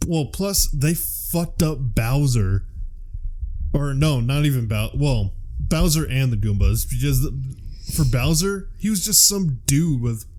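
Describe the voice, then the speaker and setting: The guy on a hobby movie podcast you put on late at night, swearing casually as he reads out trivia at an everyday middle pitch. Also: lively intonation, unhurried, warm tone. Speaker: podcast host. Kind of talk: casual chat, reading out film trivia.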